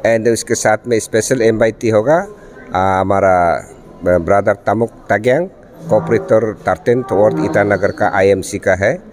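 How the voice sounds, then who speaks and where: A middle-aged man, the event organizer, speaking to news cameras in a church hall with halting pauses and fillers. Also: lowish voice, a little mellow, a little hiss or hum. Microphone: handheld news mics in front.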